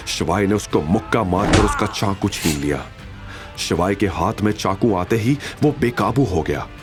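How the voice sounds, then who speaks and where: Male narrator, lowish pitch, animated dramatic storytelling for an audio series, music bed.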